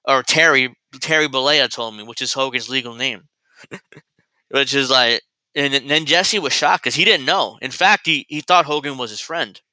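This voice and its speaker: A man in his thirties hosts a casual commentary show with a very bright, tense voice that sounds smooth and crisp. He rambles and digresses, restarting his sentences often. The sound is dry, close and free of room echo. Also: medium pitch, moderate pace. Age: 30s. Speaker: host.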